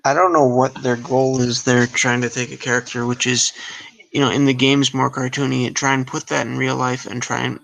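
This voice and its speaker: A man's voice: mid-range voice, little pitch movement, brisk, creaky, fairly bright tone, rough recording. A man talking casually as a panelist on a podcast. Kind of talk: casual chat.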